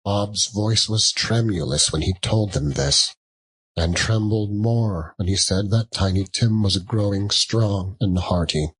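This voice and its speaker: A man's voice: fairly low pitch, slow pace, a bit bright, breathy edge, low-quality recording. A man narrating a classic story as a dramatic audiobook reading.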